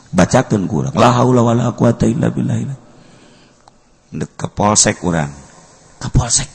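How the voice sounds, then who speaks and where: An older man with a Sundanese accent giving a humorous sermon, telling animated stories, breaking into laughter and shouts. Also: fairly low pitch, creaky, breathy edge. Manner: animated storytelling, shouting and laughing at his own jokes. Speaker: Islamic preacher.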